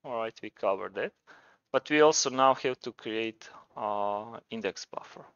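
A man's voice: fairly low pitch, measured pace, a bit bright, clear, resonant tone, dry recording, muffled recording. A man with a Croatian accent presenting a programming tutorial, talking informally and thinking aloud as he demonstrates. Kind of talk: casual screencast tutorial narration.

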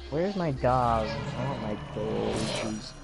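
A man's voice: medium pitch, animated, slow, creaky. A man chatting casually with friends during a game stream, making dry offhand remarks. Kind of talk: casual chat. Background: music.

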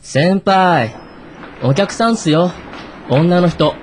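An actor playing a breezy, slangy junior office worker in a radio drama. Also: male voice, high voice, slow pace, faint music behind. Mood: flippant. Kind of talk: naturalistic radio drama acting.

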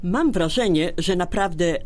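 A woman's voice: lowish voice, animated, unhurried, a little mellow. An actress playing a frank, teasing middle-aged woman friend in a radio drama.

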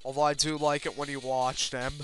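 A man's voice: medium pitch, little pitch movement, fairly quick, a bit bright, a little hiss or hum. A man giving casual, rambling video game commentary in a self-deprecating way, with casual swearing. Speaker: let's player. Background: video game music.

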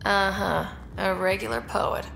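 An actress playing a cool, plucky young space explorer in a video game, speaking in a dry, direct, unfazed way. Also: female voice, medium pitch, measured pace, gravelly, fairly bright tone, slight background noise. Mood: dry, curious. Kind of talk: video game character dialogue.

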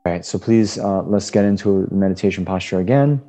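A man with a low, soft-edged, relaxed voice teaches a guided meditation. He gives gentle instructions off the cuff, in halting phrases, as a Buddhist teacher would to students.

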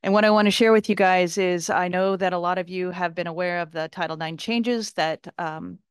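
A woman's voice: on the low side, fairly even intonation, brisk, smooth, no room sound, clean studio sound. A woman giving public comment at a school board meeting, speaking hesitantly with many ums into a podium microphone. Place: large boardroom.

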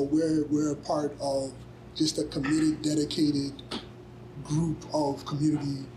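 Measured man panelist, slightly high voice. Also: a little mellow, a little hiss or hum, poor audio. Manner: measured, halting with restarts. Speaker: panelist, community advocate. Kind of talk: panel discussion answer. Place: conference room, indoors.

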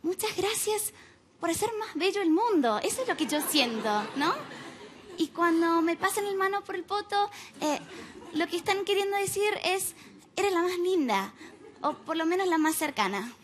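A young American woman doing stand-up in Spanish with an Argentine-tinged accent, deadpan and ironic, on a TV comedy club stage at a stand mic. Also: extremely high pitch, normal speed, background noise. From United States. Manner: deadpan, ironic asides with frequent tag questions. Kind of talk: stand-up routine.

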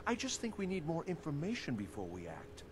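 An actor playing a cautious, worried steward to a lord in a fantasy video game, formal and pleading. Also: male voice, on the high side, huge pitch swings, a little fast, crowd noise. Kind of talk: video game character dialogue.

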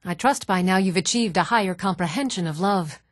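A woman voicing a serene, devout priestess in a fantasy video game, speaking in solemn, measured, reverent phrases. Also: medium pitch, dry recording, clean studio sound, lightly acted. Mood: reverent, gentle.

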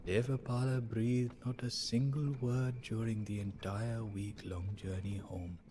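An actor playing a sorrowful, devout adviser to an Indian emperor, narrating the story in a solemn, measured, theatrical way for a game. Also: male voice, on the low side, level tone, warm tone, slight background noise, natural voice. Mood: sorrowful, hushed. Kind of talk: dramatic scripted storytelling narration.

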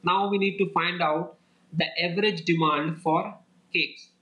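A man giving a lecture as a voice-over on slides, explaining each step in a steady, repetitive way. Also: high voice, a little slow, muffled recording. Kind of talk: slide-based lecture walkthrough.

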